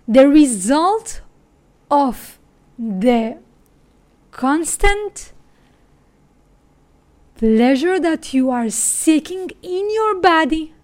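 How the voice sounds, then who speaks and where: Israeli woman, high voice, podcast host, emphatic and spiritual monologue. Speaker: sex coach and podcast host. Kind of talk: personal monologue.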